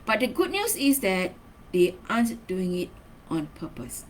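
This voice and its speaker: A woman's voice: mid-range voice, big pitch swings, a little slow. A woman with a mid-range voice delivers a training lesson in an instructional, semi-scripted way. She leans on key words and often adds short check-in tags. There is faint ambient noise behind her. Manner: instructional, emphatic key words, frequent tag questions like okay and right. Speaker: marketing trainer.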